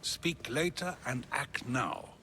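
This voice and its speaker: A man's voice: medium pitch, slow pace, close to an everyday voice. An actor playing an earnest, resolute, principled Athenian man in a theatrical video game cutscene. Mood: urgent. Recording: clear ambient noise behind.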